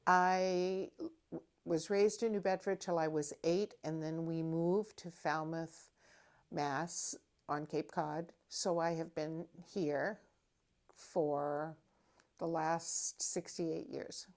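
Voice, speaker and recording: Slow-spoken older New England woman. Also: sits low, fairly even intonation, a little mellow. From New England, USA. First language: French. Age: 70s. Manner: unhurried, thoughtful, with pauses and fillers. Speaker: interviewee. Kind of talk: reading aloud then answering interview questions.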